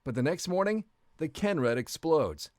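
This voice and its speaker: A man's voice: a little high, sing-song, unhurried, a little mellow, no room sound, clean studio sound. A man narrating a story video in the second person, with a wry, jokey delivery.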